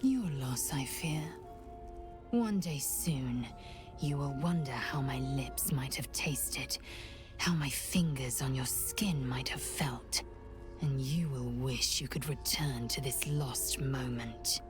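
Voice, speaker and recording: A woman playing a fierce warrior woman in a video game, breathy, intimate and deliberate as she speaks of her hungry yearning. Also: very deep voice, music in the background. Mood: cool, seductive regret.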